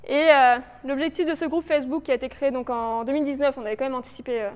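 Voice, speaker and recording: A woman with a high, smooth voice gives an informal presentation. She explains things hesitantly, as if putting the sentences together as she goes. The sound carries the long reverberation of a meeting hall. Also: steady tone, fast talker. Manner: hesitant, loosely improvised explaining. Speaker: presenter for a local authority biodiversity project. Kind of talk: informal spoken presentation with slides. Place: reverberant indoor meeting room, live audience presentation.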